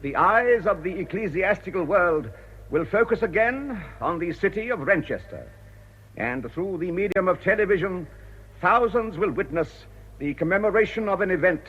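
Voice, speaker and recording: An earnest, ageing English cathedral canon weighed down by worry. His formal clerical diction rises and falls widely, with a slightly husky edge. It sounds like a muffled old radio drama broadcast. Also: on the high side, expressive, moderate pace, a bit bright. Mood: solemn, ceremonial. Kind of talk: British radio drama acting.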